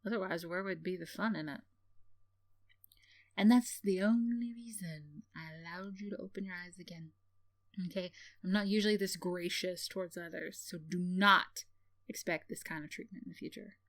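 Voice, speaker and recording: A woman's voice: fairly low pitch, normal speed, dry recording, lightly acted. A woman playing a sardonic tsundere villain in a roleplay ASMR, teasing and condescending with theatrical sighs and scoffs, yet secretly caring. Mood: grudgingly fond.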